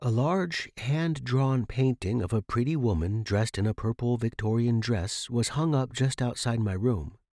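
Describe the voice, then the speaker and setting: A man's voice: medium pitch, unhurried, hardly any pauses, darkish tone, no room sound, studio quality. A man reading scripted first-person fiction as the narrator.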